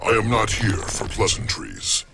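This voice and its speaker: A solemn, age-old monster of root and swamp in a video game. The voice is very deep and gravelly. Each phrase comes out slowly and formally, with music playing behind it. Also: male voice, very animated, exaggerated voice, rough recording. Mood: stern, solemn. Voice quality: gravelly, rumbling. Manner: slow, grave, formal delivery. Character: ancient, solemn male swamp plant monster guarding nature. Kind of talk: video game character dialogue.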